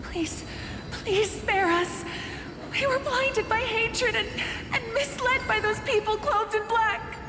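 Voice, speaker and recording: A frightened pleading townswoman, very high, slow, echoey reverb, music. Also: background noise. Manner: pleading, desperate. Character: frightened woman of the sky folk begging for mercy.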